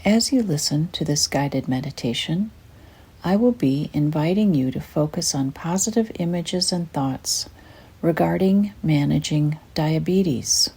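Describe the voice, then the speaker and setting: An older woman with a soft, breathy, husky voice, gently and soothingly narrating a guided meditation in an unhurried way. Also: low-pitched, lively intonation.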